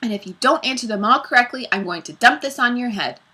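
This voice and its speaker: A woman's voice: a little high, lively intonation, fast, pushed and bright, slightly rough, pitched above the performer's own voice, full character voice. A woman voicing a mean, short-tempered woman schoolteacher in a storybook, bossy, mocking and snapping. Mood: menacing, mocking.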